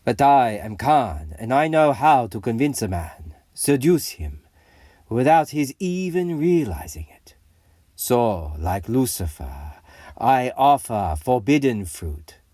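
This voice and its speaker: An actor playing a grandiose, idealistic rebel leader who narrates an audio comic drama in a theatrical, deliberate way. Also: male voice, mid-range voice, big pitch swings, a little mellow, no room sound, clean studio sound.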